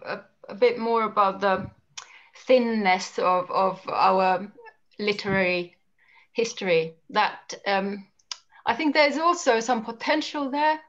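A woman author, speaking in a thoughtful, measured way on a video call. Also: fairly high pitch. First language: Finnish. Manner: thoughtful, measured, soft trailing ends. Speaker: guest author. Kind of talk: video call panel discussion. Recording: dull lo-fi call audio.

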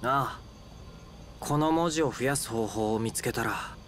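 A man playing a gentle, earnest, studious young man in Japanese video game acting. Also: mid-range voice, a little slow, a little hiss or hum, natural voice. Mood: thoughtful. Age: young adult.

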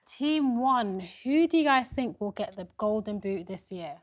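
A young woman hosting a game show in a bright studio, upbeat, with bursts of excited cheering. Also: a little high, unhurried.

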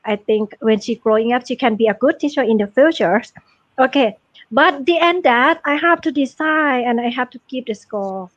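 High-voiced woman judging on a dull call. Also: normal speed, no echo. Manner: polite, nonnative English grammar, hesitant. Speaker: judge. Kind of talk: giving feedback and a score. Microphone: video call.

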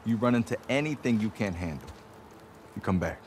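An actor playing a brisk young patrol leader who gives clipped orders in a video game drama. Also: male voice, on the low side, expressive, a little mellow, slight background noise, natural voice. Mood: brisk, commanding. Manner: commanding, clipped instructions.

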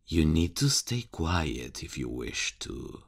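A man playing a menacing, mocking male serial killer in an ASMR horror roleplay, taunting and chuckling in a hushed voice. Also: a bass voice, big pitch swings, slow, vocal fry, slightly rough, exaggerated voice.

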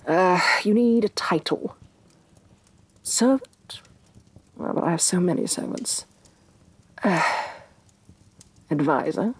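A woman playing a domineering, vain evil sorceress queen in a soft-spoken ASMR fantasy roleplay, imperious and sardonic, with breathy condescension. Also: fairly low pitch, no room sound, exaggerated voice. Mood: dismissive, amused. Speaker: voice actress.

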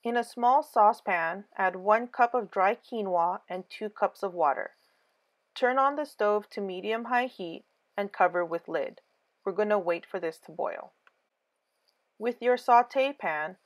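A woman's voice: mid-range voice, measured pace. Woman narrating a home recipe. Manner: clear step-by-step instructions. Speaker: cooking channel host. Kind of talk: scripted recipe voice-over.

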